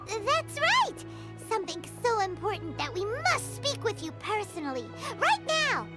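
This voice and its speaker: A woman voicing a tiny, bubbly, bossy childlike fairy girl in an anime game, squeaky and excitable. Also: measured pace, heavily acted. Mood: excited, insistent. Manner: excitable, exclamatory storytelling. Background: music.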